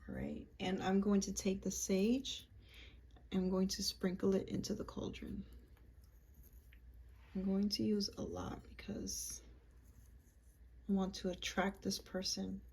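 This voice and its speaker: Gravelly-voiced woman casually guiding a spell. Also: medium pitch, lively intonation, a little mellow. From United States, Puerto Rican and Peruvian family. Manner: chatty and halting, many ums and likes. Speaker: witchcraft vlogger.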